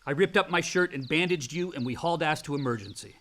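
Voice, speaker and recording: A man's voice: fairly high pitch, lively intonation, fast talker, fairly bright tone. An actor playing a brooding, angry grown older son in a naturalistic radio drama, blunt and informal in his talk. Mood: wry, reflective.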